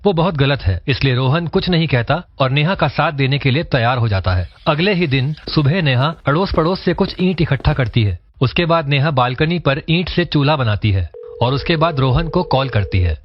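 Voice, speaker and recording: A man narrating a cartoon story in a steady, scripted storytelling manner. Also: mid-range voice, no echo. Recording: dull lo-fi voice-over.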